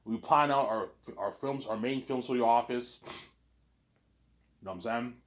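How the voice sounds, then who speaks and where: A man's voice: mid-range voice, normal speed. A man with a slight Canadian accent talking in a rambling casual monologue, often restarting and tagging on "obviously". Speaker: vlogger.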